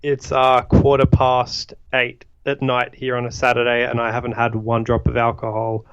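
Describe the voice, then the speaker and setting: An Australian man co-hosting a podcast in casual chat, easygoing and a little halting. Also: mid-range voice, monotone, lo-fi sound, a little hiss or hum. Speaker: podcast co-host.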